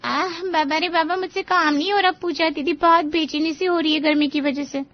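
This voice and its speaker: A woman's voice: very high voice, fairly even intonation, brisk, dry recording, lo-fi sound. A woman voicing an exasperated, overworked young daughter-in-law, whiny and pleading, in a Hindi cartoon story. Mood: exasperated, weary.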